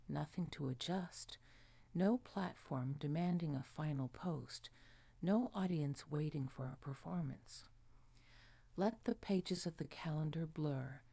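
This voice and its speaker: A woman narrating a guided sleep hypnosis in a soft, soothing, hushed manner. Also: low-pitched, gravelly, no room sound. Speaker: meditation guide.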